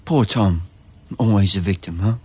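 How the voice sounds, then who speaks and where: An actor with an Australian accent playing a resentful, easily riled younger brother, curt and defensive, in a naturalistic audio drama. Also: male voice, low-pitched, normal speed, mellow, slightly rough. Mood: bitter.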